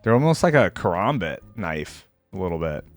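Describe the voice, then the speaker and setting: A man's voice: low-pitched, very animated, normal speed, over music. A man giving casual, slangy reaction commentary as a video host, thinking aloud as he goes.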